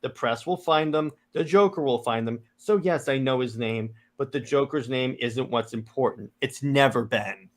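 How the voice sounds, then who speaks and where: A man reading the part of an earnest, guarded superhero in a serious, slightly halting read-aloud delivery. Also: medium pitch, close to an everyday voice. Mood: grave, resolute.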